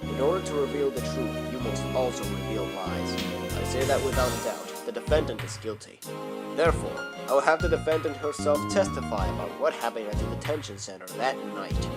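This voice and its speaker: A man playing a stern, confident prosecutor in a fan audio drama, speaking formally and forcefully. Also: mid-range voice, brisk, natural voice. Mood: stern, assured. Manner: formal, declarative courtroom delivery. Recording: loud music bed under voice.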